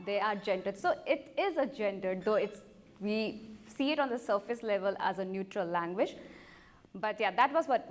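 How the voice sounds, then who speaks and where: A woman from India, a Hindi speaker, talking in as she presents her art project and answers questions, earnestly restarting her sentences. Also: mid-range voice. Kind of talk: workshop instructions and audience Q&A.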